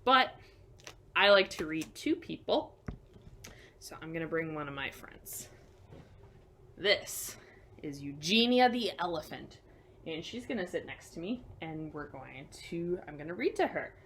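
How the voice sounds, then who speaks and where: A woman reading a picture book to children as a storyteller, expressive, with chatty, simple asides to young listeners. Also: sits low, measured pace, long pauses, a little hiss or hum.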